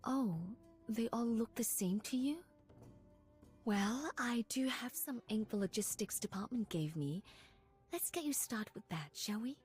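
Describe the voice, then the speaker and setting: A woman voicing a gentle, refined young scholar and assistant in a game, soft-spoken and breathy, with measured, formal phrasing. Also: on the high side, animated, soft background music. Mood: playful, gentle. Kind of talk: scripted game character voice lines, close to ASMR.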